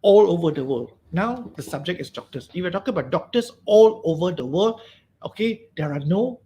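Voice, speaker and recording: A man's voice: high-pitched, lively intonation, fairly quick, warm tone. A man with a Malaysian English accent teaching a grammar webinar, stressing and repeating key words and often checking "okay?".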